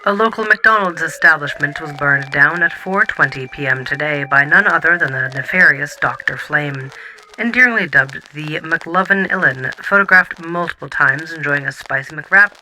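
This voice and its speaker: A man playing a brisk, mock-serious news reporter, delivering a bulletin in an audio drama. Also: fairly high pitch, sharp tone, a little hiss or hum, low-quality recording. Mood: mock-serious, urgent.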